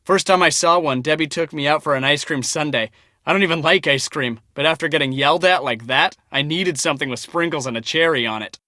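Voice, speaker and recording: A young male storm chaser and photographer in a game. He is enthusiastic and casual, with a slightly high, forceful bright voice. The recording is very dry, with no room sound. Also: fairly quick, dry recording. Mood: confiding. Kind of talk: casual scripted game dialogue.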